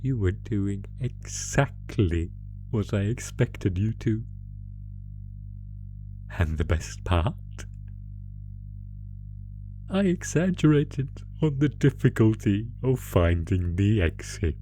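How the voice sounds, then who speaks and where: A man playing a sadistic monster who taunts captive prey with theatrical, drawn-out menace in an ASMR horror audio roleplay. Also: lowish voice, animated, dark tone, soft background music. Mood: smug taunting.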